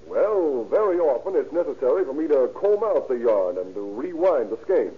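Male witness: homely salesman, animated intonation, old courtroom drama, phone-like narrow recording. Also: mid-range voice, normal speed, husky. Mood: matter-of-fact. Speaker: actor in radio crime drama. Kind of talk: 1940s radio acting. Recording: tinny narrow-band old radio recording.

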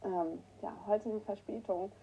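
A woman with a cold hosting a casual morning livestream, greeting her viewers by name and clearing her throat often. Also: mid-range voice, fairly even intonation, a little slow, dark tone, low-quality recording. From northern Germany. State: has a cold, hoarse at times. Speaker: livestream host. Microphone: new microphone that is not working right.

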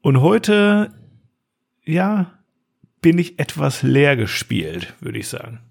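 A man's voice: on the high side, very animated, slow pace, gravelly, slightly rough. A man hosting a podcast in loose, colloquial, unscripted chat with a teasing touch. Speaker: podcast host.

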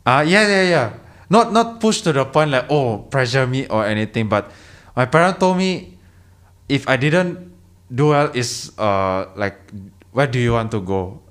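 A man's voice: medium pitch, lively intonation, a little slow, fairly bright tone. Hesitant young man in casual chat. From Indonesia. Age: young adult. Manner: hesitant, many restarts and fillers, lots of "yeah". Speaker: podcast guest.

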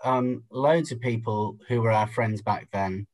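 A man speaking as a podcast guest in reflective conversation, thoughtful, measured and halting. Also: lowish voice, a little mellow.

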